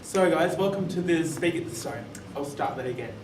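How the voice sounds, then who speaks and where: Male moderator, slightly high voice, live panel podcast; roomy sound, off-mic. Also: animated, a little fast, darkish tone. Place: conference room, live event. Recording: echoey room, distant mic, faint noise.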